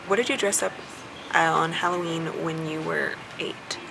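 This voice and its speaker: A young American woman with a lot of creak in her voice talks casually, like a vlogger answering questions for her viewers. She chews gum as she goes. Clear ambient noise sits behind her. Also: sits low, steady tone, normal speed. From southern United States. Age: young adult. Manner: chewing gum while talking.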